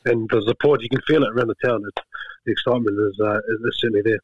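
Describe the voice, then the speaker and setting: A middle-aged man, chatting as a guest in a relaxed way, often repeating words as he gathers his thoughts. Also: on the low side, racing, no echo. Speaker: guest, rugby skills coach. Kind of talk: casual interview chat. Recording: slightly dull lo-fi sound.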